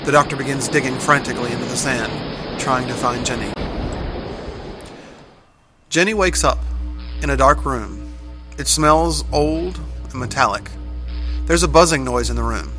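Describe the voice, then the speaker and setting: A man's voice: medium pitch, normal speed, pauses often. Male narrator, mid-range voice, scripted adventure storytelling with very prominent music underneath.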